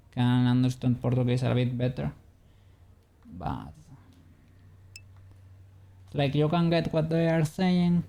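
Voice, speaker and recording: A man's voice: medium pitch, vocal fry, dark tone, clear, resonant tone. A man from Spain with a Spanish accent, chatting casually with viewers while he works on a 3D model during a stream. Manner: rambling, answers chat, chuckles often.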